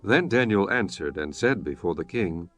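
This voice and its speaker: Deep, expressive male Bible narrator. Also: normal speed, faint music behind. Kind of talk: scripted dramatic Bible narration.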